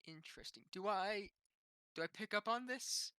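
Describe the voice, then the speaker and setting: A man's voice: sits high, moderate pace, pushed and bright, clear, resonant tone, dry recording, studio quality. A man speaking casually and tentatively as a player in a tabletop roleplaying game.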